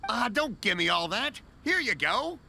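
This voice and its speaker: A man's voice: high-pitched, sing-song, a little slow, creaky, pushed and bright, heavily acted. A man playing a friendly, folksy older stallkeeper in an anime game, hearty, informal and generous.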